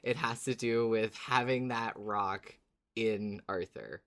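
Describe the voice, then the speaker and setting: A man's voice: fairly low pitch, fairly even intonation, slow, a bit bright. A man co-hosting a podcast, chatting casually about a fiction show in a playful, teasing manner. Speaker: podcast co-host.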